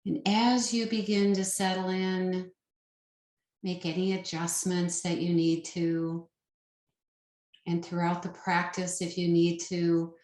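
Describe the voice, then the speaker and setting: Slow-paced woman guiding meditation in a roomy space. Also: on the low side, darkish tone. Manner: soothing, unhurried, pausing between short phrases. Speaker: yoga nidra meditation teacher. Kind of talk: guided meditation. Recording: roomy, echoing sound.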